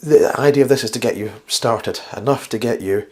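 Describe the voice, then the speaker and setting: A man with a slightly croaky, flu-worn voice hosts a casual instructional science vlog, chatty and rambling with wry, self-deprecating asides. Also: mid-range voice, brisk, darkish tone. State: getting over the flu. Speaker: host of a science video series.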